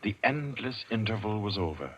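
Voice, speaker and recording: A man narrating a vintage radio drama in a measured, literary storytelling manner. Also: on the low side, big pitch swings, breathy edge. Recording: dull vintage radio, music behind. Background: loud music.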